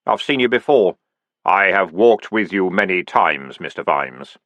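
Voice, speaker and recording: A man voicing Death as a calm, dry-witted skeletal figure who speaks slowly and deadpan. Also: low voice, no echo, voice pushed down. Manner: slow, measured, deadpan.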